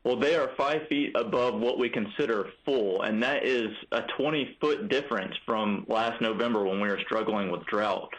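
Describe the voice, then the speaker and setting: An American man answering as an agency spokesman in a live phone news interview, measured and halting, with repeated false starts. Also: lowish voice, a bit bright, rough recording.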